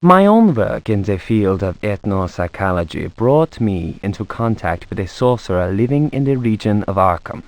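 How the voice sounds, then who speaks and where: A man's voice: lowish voice, no room sound, rough recording. A learned occult scholar reads a grim treatise for a video game. His delivery is formal and academic, with strongly varied intonation. The recording is dry and close. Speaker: narrator of an in-game book. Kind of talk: scripted dramatic reading.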